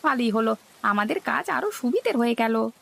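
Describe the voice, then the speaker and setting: A woman's voice: fairly high pitch, very animated, brisk, creaky, a bit bright, full character voice. A woman voicing a naive, eager male village fish seller in a cartoon, with a questioning, simple-minded manner. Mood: agreeable, eager. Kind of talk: cartoon dialogue.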